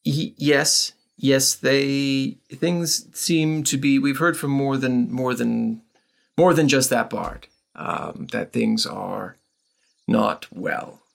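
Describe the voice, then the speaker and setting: A man voicing a protective, troubled mother in a tabletop game, speaking carefully and haltingly. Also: medium pitch, vocal fry. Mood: worried, guarded.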